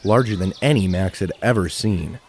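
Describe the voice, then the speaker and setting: A man's voice: low-pitched, moderate pace, heavy background noise. A man narrating a scripted adventure story in a theatrical storytelling manner.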